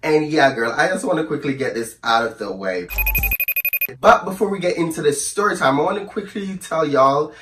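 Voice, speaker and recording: A man with a hoarse voice from the flu, telling a storytime to camera in a chatty, sassy, rambling way and calling his viewers "girl". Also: on the high side, moderate pace, reverberant, distant mic, background noise. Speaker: storyteller vlogger. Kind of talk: casual storytime chat to camera.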